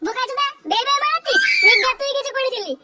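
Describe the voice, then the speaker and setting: A performer voicing a brash, foul-mouthed and excitable young cartoon club lad in a comedy. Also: extremely high pitch, fast, raised voice, distant mic, very noisy. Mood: mocking.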